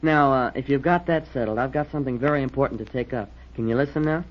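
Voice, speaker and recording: An actor playing a keen, earnest young cadet in an old-time radio drama, explaining things emphatically. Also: male voice, medium pitch, fast, natural voice. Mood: keen, insistent. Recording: muffled vintage radio recording.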